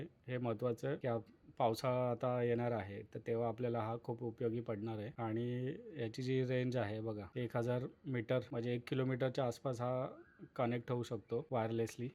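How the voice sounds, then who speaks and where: A man's voice: mid-range voice, dark tone. A man giving casual, explanatory unboxing commentary as a vlogger, addressing viewers as friends. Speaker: vlogger presenting a product unboxing.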